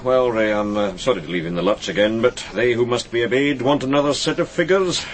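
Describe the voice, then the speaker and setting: An actor playing an affable, weary senior police officer, chatty and dryly wry, in a radio drama. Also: male voice, medium pitch, fast talker, slight background noise, close to an everyday voice. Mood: affable, apologetic. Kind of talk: broadcast radio drama acting.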